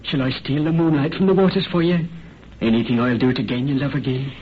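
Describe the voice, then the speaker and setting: An actor playing an ardent, pleading young Irish farmer's son in florid old-time radio melodrama. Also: male voice, mid-range voice, fairly even intonation, a little fast, lightly acted. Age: young adult. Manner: impassioned, florid. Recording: muffled, noisy vintage radio recording.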